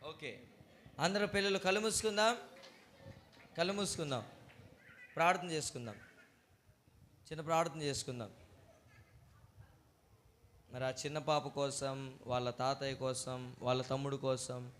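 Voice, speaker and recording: A man's voice: mid-range voice, fairly even intonation, moderate pace, long pauses, fairly bright tone. A man with a preacherly, instructive manner, presenting a children's puppet show and leading prayer. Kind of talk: exhorting and leading children at church. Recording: faint noise, live ambience.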